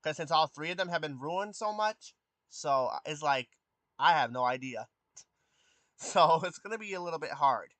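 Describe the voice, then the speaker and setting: A man of twenty chatting casually with his live stream viewers. He has a youthful voice that has not deepened, and he hesitates often with ums and restarts. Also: lively intonation, moderate pace, fairly bright tone, no echo. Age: 20s. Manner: hesitant, many ums and restarts, answers chat questions.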